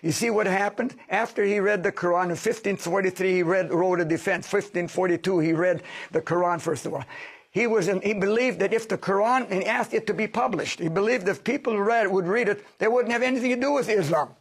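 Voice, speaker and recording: A man with a fairly high voice and a gravelly edge, answering interview questions. He talks in an emphatic, lecturing way, as if teaching a class. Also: fairly quick. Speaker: interview guest, sociologist. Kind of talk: studio interview.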